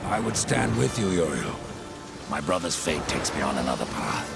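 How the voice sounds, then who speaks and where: A man playing Death, a grim pale rider in a video game, with measured, ominous and terse delivery and a gravelly voice. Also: very animated, exaggerated voice. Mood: grim, resolute. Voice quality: gravelly, very deep. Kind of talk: dramatic video game cutscene acting. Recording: loud music behind the voice.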